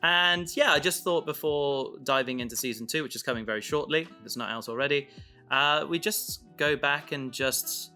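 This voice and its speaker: A man chatting casually and reflectively as a podcast creator, haltingly thinking aloud as he goes. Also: on the high side, pushed and bright, faint music behind.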